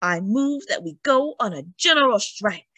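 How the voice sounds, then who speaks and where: A woman's voice: fairly high pitch, expressive, moderate pace, bright, forceful tone, dry recording, voice pushed up. A woman voicing a fiery, defiant young woman who leads strikers with emphatic, rousing cries in a storybook. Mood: determined.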